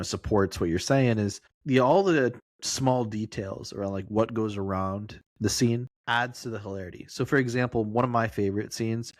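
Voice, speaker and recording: A man's voice: lowish voice, no room sound. A man co-hosting a film podcast, talking casually and circling his way through his explanations.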